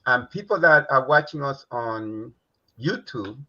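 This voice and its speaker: A man hosting a live talk stream, relaxed and rambling, laughing easily. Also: medium pitch, a little slow, dull audio. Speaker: talk show host. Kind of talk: casual live-stream chat.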